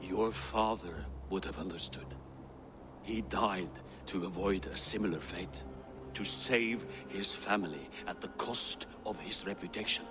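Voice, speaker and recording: Old viking king dad, low voice, weary and dignified, game cutscene, loud music behind. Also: measured pace. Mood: sorrowful, solemn. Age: older. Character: old weary deposed Norse king, grieving, dignified father. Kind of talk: dramatic video game voice acting.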